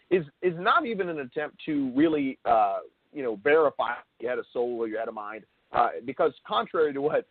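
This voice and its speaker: A man hosting an interview in an unscripted explanatory style, halting and rephrasing himself, with emphasis on key words. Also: fairly high pitch, slightly rough, dry recording. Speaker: show host interviewing a guest. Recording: thin phone-like call audio.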